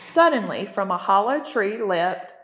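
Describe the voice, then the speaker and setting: Slow-paced woman reading a children's story. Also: mid-range voice, animated. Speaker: storytime reader. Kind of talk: children's storybook read-aloud.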